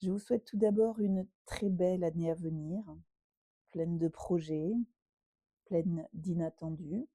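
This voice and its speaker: A woman guides a relaxation session as a yoga teacher. Her voice is slightly low and very soft and mellow, recorded close and dry. She gives gentle instructions and leaves pauses between them. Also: steady tone, dry recording.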